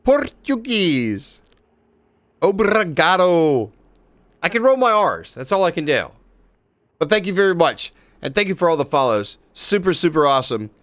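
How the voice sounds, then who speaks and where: A man live-streaming a game, chatting casually with viewers and swearing freely as he plays. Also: high voice. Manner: excitable, swears casually, talks to chat.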